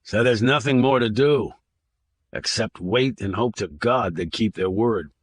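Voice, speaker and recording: Audiobook role: a bitter, desperate dad, read by a man in his own low, husky voice. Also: measured pace, dry recording. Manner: bitter and pleading. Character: desperate, grieving father whose son is kidnapped. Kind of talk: audiobook narration.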